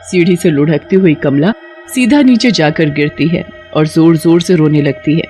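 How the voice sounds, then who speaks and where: A woman's voice: low-pitched, moderate pace, mellow, soft background music. A woman reading scripted cartoon story narration as the narrator.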